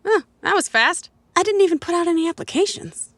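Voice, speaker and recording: A woman's voice: very high-pitched, a little fast, a bit bright, no echo. A woman playing a naive, eager young tech whiz in an audio drama, chatty and socially awkward. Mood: curious.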